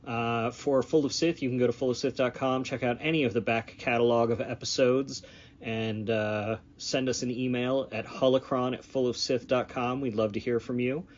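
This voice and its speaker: A man hosting a podcast casually without a script, speaking in a halting, loosely rambling way. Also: mid-range voice, steady tone, a little hiss or hum. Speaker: podcast host. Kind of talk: casual unscripted podcast outro. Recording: slightly dull lo-fi sound.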